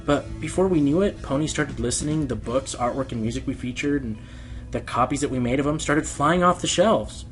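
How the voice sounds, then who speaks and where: A man's voice: mid-range voice, fairly quick, natural voice. Over background music comes a man acting a male pony radio host in an audio drama. His mid-range voice is earnest and self-deprecating, with a defensive, passionate temper. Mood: reflective. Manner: earnest, hesitant, turning indignant. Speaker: radio show host being interviewed. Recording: music behind the voice.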